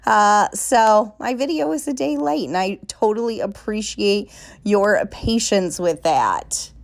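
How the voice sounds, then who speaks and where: A woman's voice: medium pitch, expressive, slow, gravelly. A woman, chatting casually in a home vlog, a little nasal and congested from a cold, bubbly and talkative. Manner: bubbly and talkative, many fillers. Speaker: vlogger. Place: home kitchen.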